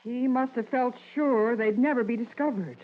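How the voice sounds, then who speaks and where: A woman's voice: on the high side, normal speed, narrow, tinny audio, close to an everyday voice. A woman playing an earnest, proud wife in an old radio drama, with a dramatic delivery. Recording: phone-thin, noisy old radio recording.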